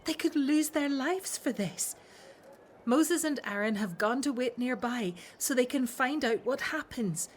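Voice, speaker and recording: Actress as high-voiced, anxious Hebrew wife. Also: female voice, expressive, measured pace, slight background noise. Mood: fearful. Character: anxious Hebrew wife, fearful for her brother. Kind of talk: religious radio drama acting.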